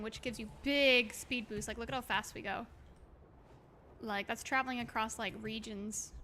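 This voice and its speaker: A woman chatting casually on a game livestream, expressive and affectionate, reading messages aloud, with a slightly hoarse voice. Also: on the high side. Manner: expressive, exclaims often, gushes affectionately. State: slightly hoarse, by own account. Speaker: livestream host. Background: music.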